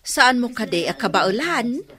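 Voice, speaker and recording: A woman's voice: fairly high pitch, big pitch swings, slow pace, a bit bright, full character voice. A woman playing a nosy, chatty village neighbour in a radio comedy drama, with an Ilocano accent.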